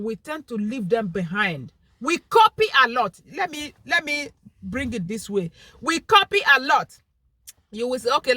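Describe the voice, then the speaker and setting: A woman's voice: sits high, sharp tone, no echo. A young woman with a Nigerian Igbo accent talking straight to camera in an earnest, pleading vlog monologue. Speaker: vlogger.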